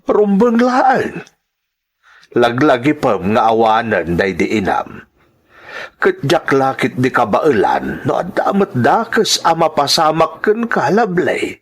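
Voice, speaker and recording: A man playing a worried, protective widowed father in an Ilocano radio-style drama, grave, deliberate and pleading. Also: medium pitch, sing-song, slightly rough, heavily acted. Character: worried, protective widowed father advising his grown daughter.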